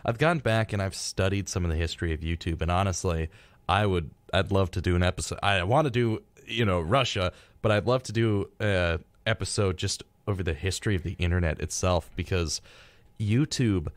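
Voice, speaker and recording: A man's voice: sits low, a little fast, dry recording. A young man co-hosting a radio show, chatting casually and jokily and acting out imagined remarks. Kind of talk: casual chat.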